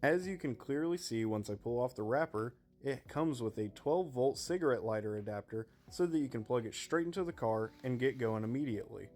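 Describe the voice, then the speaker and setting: A man's voice: mid-range voice, lively intonation, brisk, few pauses, a little mellow. A man narrating a scripted product review in an explanatory, presenter-like way. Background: background music.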